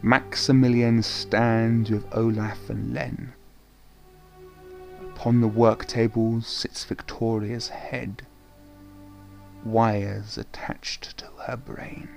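A man narrating a scripted horror radio play in a dramatic, measured way. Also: fairly low pitch, level tone, vocal fry, darkish tone, faint music behind.

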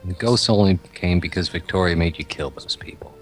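A man's voice: low-pitched, fairly quick, faint music behind, lightly acted. A man playing a grieving, obsessed and sinister reborn doctor in an amateur horror radio play, solemn and theatrical. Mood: calm, sinister resolve.

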